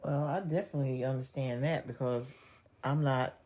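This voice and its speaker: A woman from New York co-hosting a relaxed chat show, easygoing and quick to drop into slang. Her voice is very low, with a dark, mellow tone. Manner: laid-back, slips into street slang. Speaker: podcast co-host. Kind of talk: casual chat.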